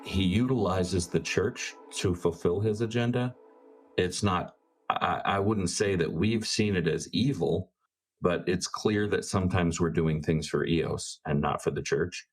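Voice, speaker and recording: A man's voice: low voice, measured pace. A man playing a tabletop game, casually and tentatively reasoning aloud about the characters. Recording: soft music behind the voice. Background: music.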